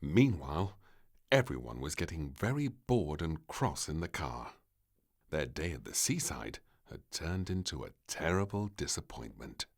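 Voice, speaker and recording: A man reading a children's storybook aloud, with warm, expressive storytelling. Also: a bass voice, measured pace. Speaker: storybook narrator. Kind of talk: children's read-along narration.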